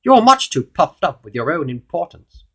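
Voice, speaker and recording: A man's voice: a little high, very animated, normal speed, bright, forceful tone, breathy edge, pitched above the performer's own voice, full character voice. A man voicing a stern goblin king in a children's storybook, making admonishing, declamatory pronouncements. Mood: stern decree. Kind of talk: storybook character voice.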